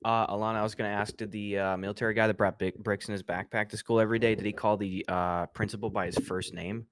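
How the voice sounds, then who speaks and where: A man making wry, deadpan jokes in a casual group chat. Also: on the low side, fast, no room sound. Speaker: guest.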